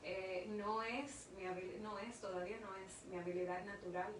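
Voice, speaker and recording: A woman's voice: medium pitch, fairly even intonation, normal speed. A woman with a Dominican accent speaking as an interview guest, earnest and emphatic, often repeating words for stress. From Dominican Republic. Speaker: interview guest, industrial engineer. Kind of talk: casual interview conversation. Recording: echoey room, distant mic, noisy.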